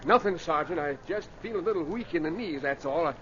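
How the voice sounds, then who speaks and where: An actor playing a blunt, excitable frontier man with a folksy North American accent in an old radio drama. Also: on the high side, fairly quick. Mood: shaken, sheepish. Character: excitable, gruff frontier man, quick to scold, humble. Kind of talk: 1940s-50s radio drama acting. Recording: muffled old radio with faint noise.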